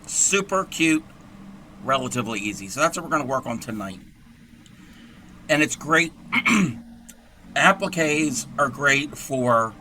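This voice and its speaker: A hoarse man with a very bright, sharp voice talks through a crochet project on a livestream. He rambles and makes little jokes at his own expense, and his scratchy voice keeps catching. A faint noise sits under the recording. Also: mid-range voice. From Texas. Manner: chatty and rambling, self-deprecating jokes. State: hoarse, scratchy voice with frequent throat clearing. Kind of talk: casual craft tutorial while livestreaming.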